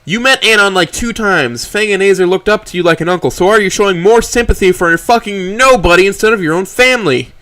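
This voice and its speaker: A man voicing an angry young female raptor, snarling and shouting in bitter rage. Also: sits high, animated, racing, hardly any pauses, pitched above the performer's own voice. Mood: furious.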